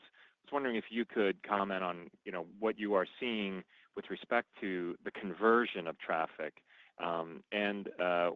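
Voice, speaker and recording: A man's voice: low voice, dry recording. A man asking questions as an analyst on an earnings call, feeling his way through roundabout, hesitant phrasing over a telephone. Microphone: telephone conference call. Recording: narrow, tinny phone line.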